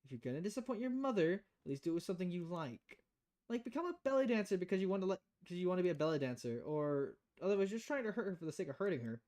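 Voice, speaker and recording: A low voice for a stern, tired female bartender in a visual novel, as a woman puts her on. She is dry and blunt, speaking in a measured deadpan. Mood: blunt advice.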